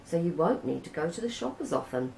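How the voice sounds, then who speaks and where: A man's voice: on the high side, big pitch swings, fast talker, creaky, darkish tone, reverberant, slight background noise, low-quality recording. A woman voicing a practical grown son in a storybook reading, giving matter-of-fact advice. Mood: helpful.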